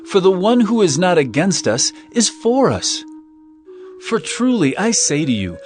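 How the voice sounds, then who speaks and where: Guy playing authoritative solemn teacher, lively swings in pitch, commanding, scripture drama, faint music under. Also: natural voice. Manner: solemn, commanding, deliberate emphasis.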